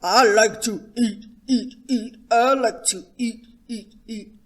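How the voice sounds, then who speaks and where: A woman voicing an eager, hungry little boy in a children's storybook, excitable and given to sing-song chanting. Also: a little high, slow, voice pushed up.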